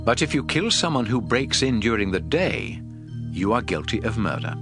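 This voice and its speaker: A man playing a stern, authoritative God in a dramatic scripture reading, speaking in solemn, deliberate declarations. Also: medium pitch, sing-song. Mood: solemn instruction. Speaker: audio Bible narrator. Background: background music.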